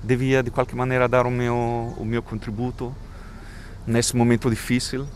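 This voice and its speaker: A man from Italy with an Italian accent, an educator interviewed outdoors in his neighbourhood, speaking haltingly with frequent restarts. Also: medium pitch, monotone, a little hiss or hum. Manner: halting, restarts often, thinking aloud. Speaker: community educator. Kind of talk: street interview.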